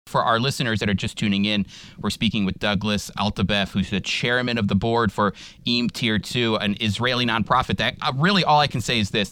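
A man's voice: mid-range voice, bright, forceful tone, smooth. A man hosting a radio interview, asking questions earnestly and enthusiastically. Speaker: radio show host.

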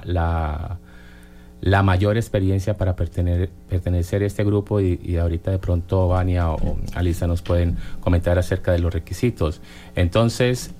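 A man's voice: deep voice, dark tone. A man hosting a casual interview, asking rambling, friendly questions with plenty of fillers. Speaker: radio host.

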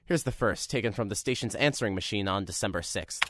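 A man's voice: lowish voice, fast, background noise, lightly acted. A young man narrating an audio drama in first person as a reporter, dry and matter-of-fact. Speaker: narrator and lead character, a young radio reporter.

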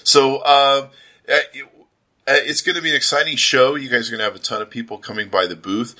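A man's voice: mid-range voice, fairly even intonation, brisk, pushed and bright. A man hosting a casual promotional interview as a podcaster, talking with slangy, gushing enthusiasm.

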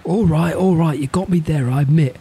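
A man's voice: a little high, a little fast, dark tone, slightly rough. An actor playing a jokey, playful cockney man in wartime London. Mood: cheerful. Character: cheeky cockney wartime man, mysterious boyfriend.

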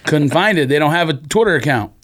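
A man with a fairly high voice co-hosts a casual podcast. His intonation swings around in a lively way as he banters conversationally. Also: fast talker, noisy background. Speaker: podcast co-host.

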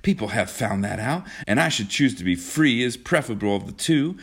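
A man's voice: medium pitch, expressive, a little fast, a bit bright, slightly rough. A man voicing an earnest, worried young suitor in an insistent, dramatic storybook manner. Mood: grim.

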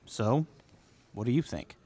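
Animated, sarcastic younger-sounding brother. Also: male voice, mid-range voice, measured pace, dry recording. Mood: sardonic. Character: Joseph's skeptical, wisecracking brother, loyal and excitable.

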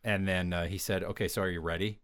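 A man's voice: sits low, fairly even intonation, fast talker, fairly bright tone, no echo, clean studio sound. A man hosting a podcast, asking casual questions and laughing along with his guest. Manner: friendly interviewer, laughs along. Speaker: podcast host. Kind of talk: casual chat.

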